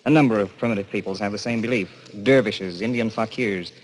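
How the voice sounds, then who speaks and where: An actor playing a cautious, learned doctor in a 1940s radio drama, explaining things deliberately and calmly. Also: male voice, on the low side, natural voice. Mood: measured, grave. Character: calm, measured, learned male doctor, cautious. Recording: noisy vintage 1940s radio recording.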